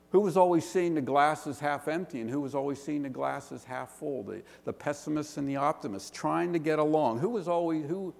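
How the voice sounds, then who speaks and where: Male pastor, Western Pennsylvania accent, gravelly, preaching in a reverberant church hall. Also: fairly high pitch, darkish tone. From Pittsburgh area, Western Pennsylvania. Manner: earnest preaching with rhetorical questions and slow emphatic lists. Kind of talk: sermon. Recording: long reverb of a large hall.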